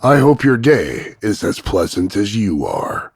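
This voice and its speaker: A man voicing a cocky, gruff macho action hero, delivering scripted insults in a gravelly, husky, mocking deadpan drawl. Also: on the low side, measured pace, no echo, heavily acted. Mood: mocking, smug. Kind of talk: scripted voice-over demo of one-line insults.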